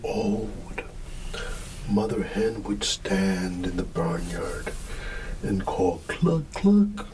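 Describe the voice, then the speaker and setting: A drowsy man reading a children's story in an ASMR style, halting and drawn out as if fighting off yawns. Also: low-pitched, fairly even intonation, noisy background. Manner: drowsy, yawning, drawn-out halting delivery with hums. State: sleepy. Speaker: bedtime storyteller in an ASMR roleplay.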